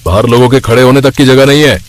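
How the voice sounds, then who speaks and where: A man's voice: mid-range voice, steady tone, fast, close to an everyday voice. A man voicing a harsh, suspicious restaurant boss who scolds his worker in a cartoon story. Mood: angry, scolding. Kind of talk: Hindi cartoon story acting.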